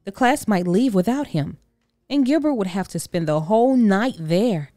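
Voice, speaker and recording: A woman narrating a children's storybook in an expressive read-aloud style. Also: mid-range voice, moderate pace, no room sound, clean studio sound.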